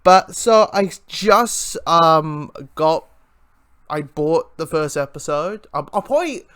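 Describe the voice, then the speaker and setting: A man giving a casual, unscripted fan review, rambling and halting with repeated words and false starts. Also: on the high side, animated, a bit bright, clear, resonant tone.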